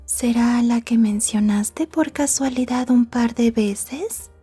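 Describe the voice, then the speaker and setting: A woman playing a sweet, possessive schoolgirl girlfriend in an ASMR roleplay, soft and polite with controlled menace. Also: fairly high pitch, slow, breathy edge, faint music behind, full character voice. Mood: sweet, relieved. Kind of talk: Spanish ASMR roleplay acting.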